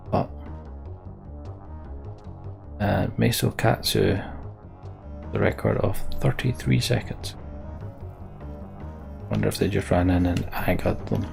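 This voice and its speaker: A man's voice: fairly low pitch, creaky, darkish tone. A man giving casual live commentary as he streams a video game.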